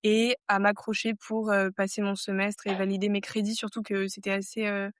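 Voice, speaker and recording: A woman's voice: medium pitch, little pitch movement, moderate pace, no echo, clean studio sound. A woman in her twenties from France, a podcast guest talking things over casually and reflectively, with many fillers and restarts. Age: 20s.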